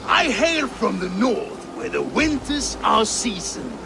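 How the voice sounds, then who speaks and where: A man's voice: high-pitched, sing-song, slow pace, creaky, music in the background, full character voice. An actor playing a boastful Danish warrior who taunts and mocks his rivals. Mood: taunting. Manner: scornful, taunting. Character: gruff boastful Danish warrior man, mocking. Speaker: rival warrior in a rhyming insult duel. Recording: game cutscene with loud ambience.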